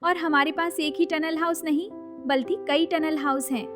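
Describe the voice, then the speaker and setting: A woman's voice: sits high, fairly even intonation, moderate pace, over music, natural voice. A woman voicing a resourceful young village daughter-in-law in a cartoon story, encouraging and practical. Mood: hopeful.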